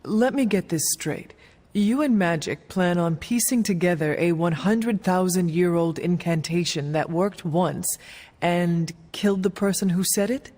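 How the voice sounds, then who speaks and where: A woman's voice: fairly low pitch, measured pace, a little mellow. A woman playing a solemn, earnest warrior in a video game, speaking formally and literally. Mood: skeptical. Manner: formal, literal, few contractions. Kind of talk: video game cutscene acting. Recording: long reverb, music behind.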